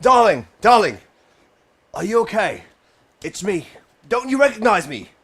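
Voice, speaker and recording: A man playing an anxious husband with a drawn-out, melodramatic delivery in an amateur audio drama. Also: sits high, big pitch swings, a bit bright, husky, heavily acted. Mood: worried. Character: anxious, panicking husband in a horror scene.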